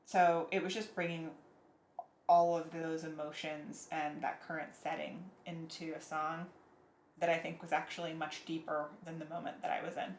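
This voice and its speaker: A young woman singer-songwriter, soft-spoken, talking reflectively in a casual interview. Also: sits low, little pitch movement, normal speed. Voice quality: soft, airy voice. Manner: reflective, thoughtful, with frequent fillers. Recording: echoey room, faint noise.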